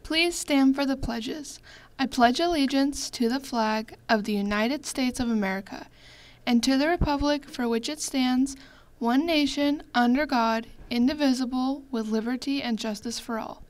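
A woman leads the morning pledges on a school news show. Her voice is high, and she recites each line in a measured, formal way. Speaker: student leading the pledges.

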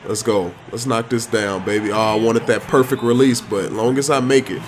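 A man giving excited, slangy commentary on his own video game play, full of playful trash talk. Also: medium pitch, normal speed, slight background noise.

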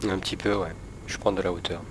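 A man with a stuffy cold, talking loudly and bluntly with his squad over game voice chat. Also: sits low, little pitch movement, quick pace, background noise. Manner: loud, blunt, swears casually. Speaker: player. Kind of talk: gaming voice chat.

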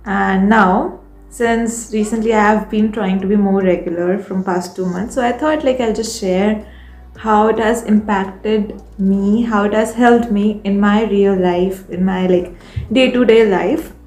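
A woman's voice: mid-range voice, fairly even intonation, unhurried, a little mellow. Young woman chatting to camera. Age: young adult. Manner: chatty, rambling, many fillers and restarts. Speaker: vlogger. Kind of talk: casual talk to camera. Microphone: phone camera on a table. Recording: echoey room with faint background music. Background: faint background music.

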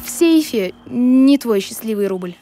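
A woman voicing a sensible, kind young child in an animated series, earnest and reassuring. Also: on the high side, unhurried, no room sound.

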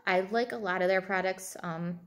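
A woman's voice: mid-range voice, moderate pace. A woman in her thirties giving informal advice to camera as a coach, conversational and thinking aloud as she goes. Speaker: fitness and nutrition coach. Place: indoors, small room at home, seated at a table.

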